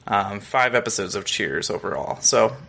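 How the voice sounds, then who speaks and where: A man's voice: fairly low pitch, animated, moderate pace, creaky, bright, forceful tone. An American man, around his thirties, chatting casually as a podcast co-host in a rambling, joking way. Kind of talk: casual chat, reviewing a TV episode.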